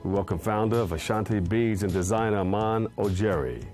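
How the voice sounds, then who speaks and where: A man's voice: on the low side, darkish tone, soft background music. Chatty middle-aged male TV host. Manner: chatty, joking, quick backchannels. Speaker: TV talk show host. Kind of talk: casual interview hosting. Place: TV studio.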